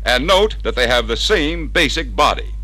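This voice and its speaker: A man's voice: medium pitch, lively intonation, moderate pace, bright, forceful tone, slightly rough, no room sound. An American man narrating a scripted 1960s sales training film in a punchy, persuasive salesman's manner, on a vintage record soundtrack. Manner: punchy, persuasive salesman delivery with rhetorical questions.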